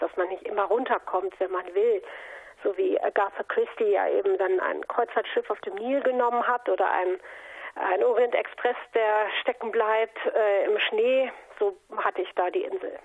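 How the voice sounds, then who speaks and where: A woman's voice comes over a narrow, phone-like line at a medium pitch. She is an author giving conversational interview answers. She tells things in a reflective, storytelling way. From North Sea island of Juist, Germany.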